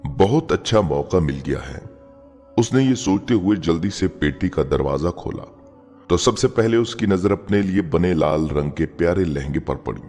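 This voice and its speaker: A man's voice: sits low, a little mellow. A man narrating a scripted moral story in an earnest, sentimental, dramatic way. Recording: long reverb, music bed behind. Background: background music.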